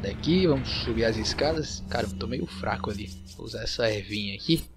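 A man's voice: fairly low pitch, expressive, fast. A man with a Brazilian accent giving casual video game commentary as he plays. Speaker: gaming YouTuber. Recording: noisy, game sound behind voice.

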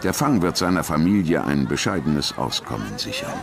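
Deep-voiced male documentary narrator. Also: sing-song, moderate pace. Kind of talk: dramatic scripted narration. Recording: voice-over with clear background noise.

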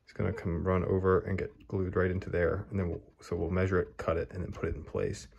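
A man with a deep, low voice talks through his model train scenery step by step. His manner is easygoing, and he thinks aloud as he works. He is recorded on a phone camera in his hobby room. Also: quick pace, vocal fry, breathy edge, dull audio. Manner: relaxed, thinking aloud while working. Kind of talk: casual how-to narration.

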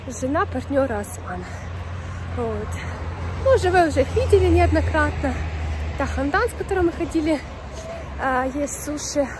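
A young woman who is slightly unwell with a runny nose, chatting informally and in slang as she vlogs outdoors on a phone held at arm's length. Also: high voice. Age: young adult. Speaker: vlogger. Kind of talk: casual walking vlog chat. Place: outdoors on a city street beside traffic. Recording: clear outdoor street and traffic noise.

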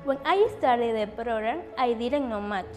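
High-voiced woman recounting her studies. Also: lively intonation, unhurried, faint music behind. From Ecuador. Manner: simple, recited. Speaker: student giving testimonial. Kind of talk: prepared testimonial.

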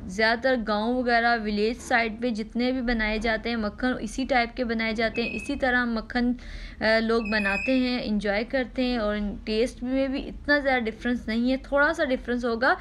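A high-pitched woman walks viewers through a home recipe, unhurried and repetitive, with small "ah" pauses. A faint noise floor sits under her voice. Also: steady tone, a bit bright. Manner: patient, repeats instructions, frequent "ah" pauses. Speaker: cooking channel host. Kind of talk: step-by-step cooking demonstration.

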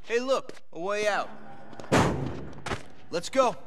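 An actor playing an impatient, upbeat young street gang member in a video game cutscene. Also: male voice, high-pitched, expressive, slow, very noisy. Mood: urging.

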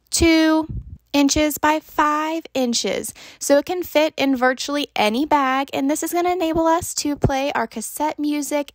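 A woman in her thirties reads a scripted product review. Her voice is high, and the recording is close and dry, with almost no room sound. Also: no echo.

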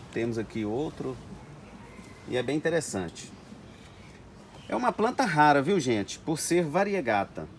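A man chats to the camera from inside an orchid greenhouse, often calling out to his viewers. His voice sits a bit high for a man. Steady background noise runs under the camera mic. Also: rough recording. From Brazil. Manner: chatty, keeps addressing viewers as "gente". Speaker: orchid grower. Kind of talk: casual talk to camera with growing tips. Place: indoors in a greenhouse full of orchids.

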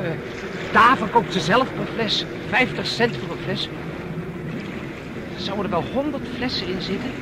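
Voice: mid-range voice, natural voice. An actor playing an eager, daydreaming young boy beachcomber in a 1960s radio drama. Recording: old radio drama with loud wind noise.

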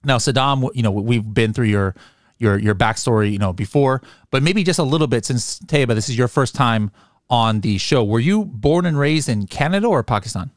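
A man's voice: medium pitch, expressive, brisk, dry recording. A man hosting a podcast, chatting casually and joking with his guests from a studio mic. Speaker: podcast host. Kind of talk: casual interview chat. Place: home studio with headset and boom mic. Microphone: studio microphone on boom arm, headphones.

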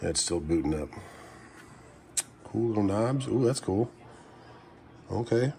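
Chatty dad vlogging on a handheld camera. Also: male voice, fairly low pitch, a little slow, creaky. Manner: lively, chatty, playful with kids. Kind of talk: casual family vlog chat. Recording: noisy camera audio.

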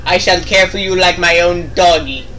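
A man's voice: high voice, moderate pace, pushed and bright, a little hiss or hum, rough recording. A man playing a video game and bantering casually, with a goofy, mock-dramatic, exclaiming manner. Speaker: let's play player and co-commentator.

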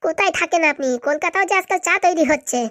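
A woman's voice: very high voice, fast, fairly bright tone, no echo, studio quality. A woman voicing a bossy, boastful, scheming female power broker in a cartoon, brash and commanding. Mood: brusque, proud. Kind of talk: amateur cartoon voice acting.

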